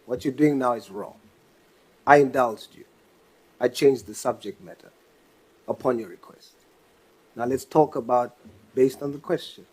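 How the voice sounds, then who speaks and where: A man questions a witness at a committee hearing, pressing and insistent, circling back to the same question. His voice is mid-pitched and plain. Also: a little slow, long pauses, husky, poor audio. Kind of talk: parliamentary questioning.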